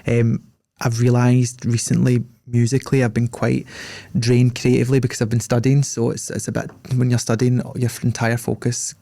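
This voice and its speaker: A man's voice: medium pitch, completely flat delivery, normal speed, vocal fry, dark tone, dry recording. A young man with a Scottish accent hosting a podcast, chatting in a loose, rambling way full of fillers. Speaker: podcast host. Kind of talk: casual interview chat.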